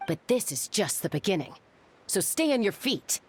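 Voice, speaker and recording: A woman's voice: mid-range voice, very animated, moderate pace, heavy background noise, lightly acted. A woman playing a cocky, taunting rival clan fighter in a video game, full of confidence. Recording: game music behind.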